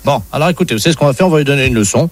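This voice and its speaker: A man playing a fast, animated comic policeman. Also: medium pitch, close to an everyday voice. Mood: conspiratorial. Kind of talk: prank phone call comedy.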